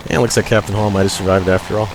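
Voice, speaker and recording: A man's voice: fairly low pitch, rapid-fire, background noise. A man giving casual, laid-back gameplay commentary as he plays, muttering reactions to the action. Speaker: gamer playing a flight simulator. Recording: loud engine-like drone behind the voice. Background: steady loud vehicle drone from game.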